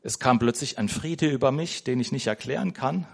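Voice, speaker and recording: A man's voice: medium pitch, normal speed, vocal fry, darkish tone, slightly rough. Man giving a chatty, meandering sermon. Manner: conversational, tags nearly every sentence with "ne?"; searching for words, restarting sentences. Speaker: lay preacher. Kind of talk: informal sermon. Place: church.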